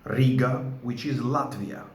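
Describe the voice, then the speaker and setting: A man's voice: medium pitch, measured pace, darkish tone, reverberant. Insistent man with a Georgian accent. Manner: insistent, repeats "okay?" often. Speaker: vinyl record collector. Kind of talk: to-camera vlog.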